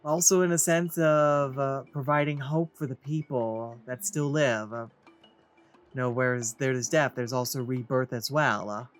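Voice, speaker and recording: A man's voice: mid-range voice, steady tone, unhurried. Man doing a let's play, music behind. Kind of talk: reading game text aloud, casual gameplay commentary.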